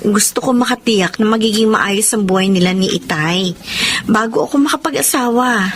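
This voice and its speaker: A woman's voice: mid-range voice, normal speed, a little hiss or hum, close to an everyday voice. A young woman with a Filipino accent playing a dutiful, patient and hopeful overseas maid in a TV drama, speaking earnestly. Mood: firm, hopeful. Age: young adult.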